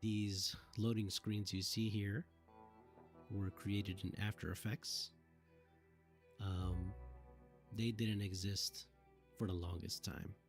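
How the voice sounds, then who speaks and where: A man with a fairly low voice talks casually over a game, as a streamer would. He thinks aloud and reminisces in an informal way, with faint music behind him. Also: flat delivery, slow, creaky, long pauses. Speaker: streamer, former game cutscene assistant giving commentary. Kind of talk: casual livestream behind-the-scenes commentary.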